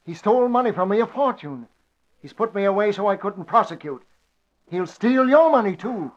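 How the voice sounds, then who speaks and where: A frail old uncle with a high, thin voice who pleads and warns. It sounds like a 1940s radio drama on a dull, muffled old recording. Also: male voice, animated, moderate pace. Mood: pleading. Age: older.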